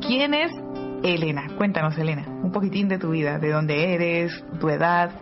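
A woman, a religious sister hosting a devotional radio interview, speaking in a gentle, prayerful and encouraging manner. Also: fairly low pitch, normal speed. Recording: dull lo-fi sound under loud music. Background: music.

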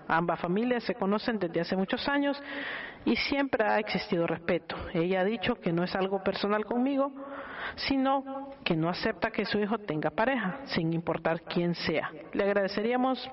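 Reader of a listener letter on radio. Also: mid-range voice. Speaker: reader of a listener's email on the show. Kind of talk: reading a letter aloud. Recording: muffled radio broadcast.

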